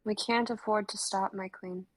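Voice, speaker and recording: A woman's voice: mid-range voice, fairly even intonation, normal speed, lightly acted. A woman voicing Chrysanthemum, a bold, loyal warrior who speaks curtly. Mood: resolute.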